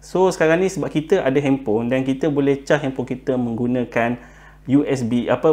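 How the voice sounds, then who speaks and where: Male Malaysian presenter, mid-pitched, unscripted how-to talk, filler-heavy and code-switching with English. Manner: halting, frequent filler words and repetition. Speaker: presenter giving buying tips. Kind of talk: informal explainer, unscripted talk.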